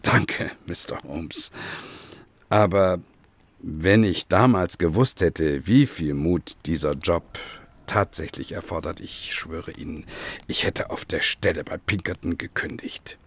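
A former detective with a very deep voice, looking back on a dangerous past. He tells it gravely and with measured drama, in the manner of a radio play. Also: full character voice. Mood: reflective. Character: John Douglas, grave former Pinkerton detective telling his past. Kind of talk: German radio play acting.

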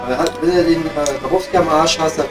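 A man acts out a matey, womanising Ruhr coal miner in an audio comedy. The male voice is fairly high, colloquial and a bit halting, with a Ruhr German accent over clear background music. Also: steady tone, lightly acted. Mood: grumbling. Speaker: comedy performer. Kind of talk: improvised audio comedy, casual banter.

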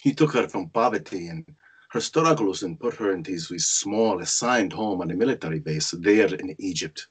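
Low, husky brooding narrator played by a man. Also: a bit bright, full character voice, poor audio. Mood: solemn. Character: grown son narrating his parents' story, wry and brooding. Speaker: actor reading narrator part. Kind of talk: dramatic first-person narration at a cold read. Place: indoor room on a video call. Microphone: video call.

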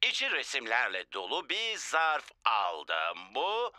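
A man's voice: medium pitch, takes it slowly, dry recording, studio quality, full character voice. A man voicing a cheerful, showy potato TV presenter in a children's cartoon dub. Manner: showy and animated. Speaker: TV presenter character. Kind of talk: cartoon voice acting.

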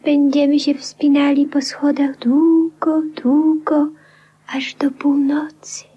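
An actress playing a dreamy, gentle lady with wistful, breathy delivery in a 1950s radio play. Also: sits high, level tone, slow, muffled recording. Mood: dreamy, wondering. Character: dreamy, gentle lady companion to an eccentric man.

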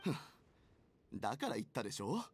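A man voicing a petty, scheming merchant in an anime game, theatrical and excitable, grumbling with spite. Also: medium pitch, a little fast, a little hiss or hum, lightly acted. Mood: spiteful, gloating. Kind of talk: Japanese anime game voice acting. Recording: background game music.

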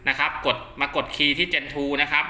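A man's voice: a little high, little pitch movement, moderate pace, sharp tone. A man talking casually through a hands-on product test, explaining step by step in a reassuring, instructional way. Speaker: host of a radio gear channel. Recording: roomy echo.

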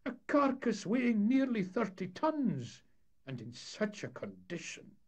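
A man voicing a fussy town spokesman, stiff and indignant, pitched well above his own voice. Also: wildly swooping pitch, a little slow, exaggerated voice.

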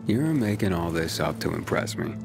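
A man's voice: low voice, very animated, normal speed, creaky, music in the background, full character voice. A man playing an earnest, reserved young wanderer in a video game, talking in a hesitant, understated way. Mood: shy, curious. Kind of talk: low-key cinematic game dialogue.

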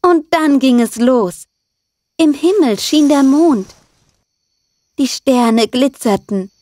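A woman's voice: sits high, lively intonation, slow, warm tone, no echo. A woman narrating a scripted children's cartoon story. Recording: music behind the narration.

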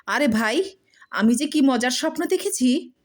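A woman voicing an excitable, boastful male traveller in a storybook, gushing as he talks. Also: high voice, measured pace, pitched above the performer's own voice. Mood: excited, boastful.

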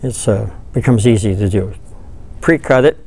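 An older man giving a relaxed, folksy fly-tying tutorial, thinking aloud as he works at a table in a studio. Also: on the low side, moderate pace, slightly rough. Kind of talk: hands-on tutorial. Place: studio with dark backdrop, seated at table.

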